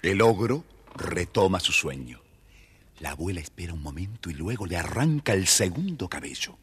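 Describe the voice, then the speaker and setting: A man with a husky voice, narrating a scripted children's story. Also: sits low, sing-song, normal speed, a bit bright, a little hiss or hum.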